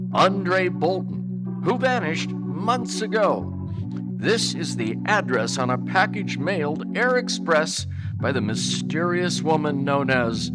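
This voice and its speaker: A man announces an old-time radio serial with dramatic, deliberate narration. His voice is fairly high and unhurried, and loud music plays behind him.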